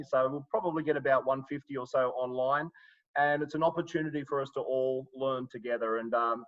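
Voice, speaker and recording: A man's voice: on the high side, a bit bright, dull audio. A middle-aged Australian man from Sydney, hosting a live coaching webinar in an upbeat, encouraging way, with lots of ums and restarts. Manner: filler-heavy, restarts often, upbeat and encouraging.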